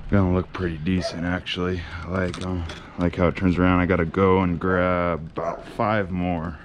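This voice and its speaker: A man's voice: low-pitched, level tone, moderate pace, noisy background. A young man vlogging outdoors about his yard work, talking easygoingly to his viewers and walking them through each step. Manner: easygoing, talks to viewers as "guys". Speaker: landscaping vlogger. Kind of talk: casual vlog narration. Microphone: action camera on body. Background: music.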